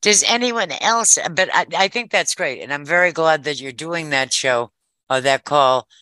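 Older woman, lower bright voice, halting talk. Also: dry recording. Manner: hesitant, circling back and repeating phrases. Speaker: discussion participant. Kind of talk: casual conversation.

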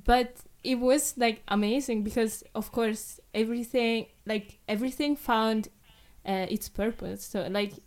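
A woman's voice: on the high side, steady tone, measured pace, a little mellow. Young woman, rambling chat. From Europe. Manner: rambling, many fillers like "like" and "uh". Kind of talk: casual chat.

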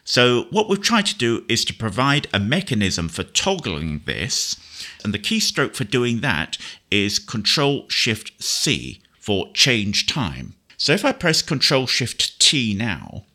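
A man presenting a casual software tutorial in a conversational, explanatory way. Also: medium pitch, huge pitch swings, slow pace. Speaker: presenter demonstrating screen reader software.

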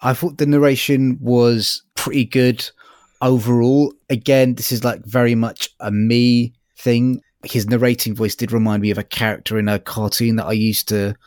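A man's voice: mid-range voice, level tone, moderate pace, a little mellow, no room sound, clean studio sound. A man co-hosting a review podcast, speaking casually and tentatively as he searches for his words. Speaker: podcast co-host reviewing audiobooks.

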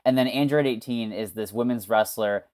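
A podcast guest who talks casually and improvises a story pitch, using lots of fillers. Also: normal speed, a little mellow.

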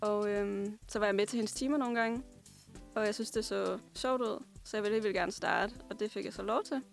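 Creaky-voiced Danish woman, mid-pitched. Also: steady tone, soft background music. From Denmark. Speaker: guest singer, songwriter and pianist. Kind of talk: casual interview answers.

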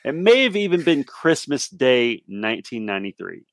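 A man's voice: mid-range voice, big pitch swings, normal speed, vocal fry, no room sound. Male podcast guest, forties, casual anecdotal chat, dry room-free sound. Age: 40s. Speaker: podcast guest playing a guessing game.

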